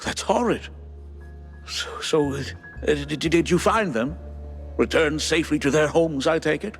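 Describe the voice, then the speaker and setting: A man's voice: fairly high pitch, a little slow, soft background music. A man voicing a flustered, genteel cartoon mouse politician who stammers and hesitates politely. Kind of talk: animated game voice acting.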